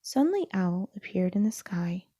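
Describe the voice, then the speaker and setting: A woman's voice: lowish voice, sing-song, normal speed, mellow, slightly rough, dry recording, clean studio sound. A woman narrating a children's storybook as a read-aloud. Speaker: storybook reader.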